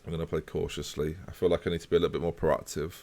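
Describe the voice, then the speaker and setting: A man's voice: rumbling low, very fast. A man casually chatting with his live-stream viewers while playing a football management game. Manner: relaxed, chatty, talks to chat while playing.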